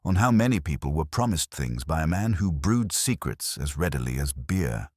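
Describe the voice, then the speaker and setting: A man voicing a calm, dry-witted police inspector in a spoken mystery story, quiet and measured. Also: a bass voice, no echo.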